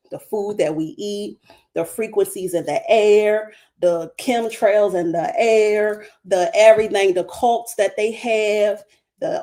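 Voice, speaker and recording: A woman's voice: mid-range voice, warm tone. Passionate woman with a Baltimore accent. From Baltimore, USA. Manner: passionate, emphatic, preaching cadence with repeated phrases. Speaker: spiritual guest speaker. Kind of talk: livestream talk.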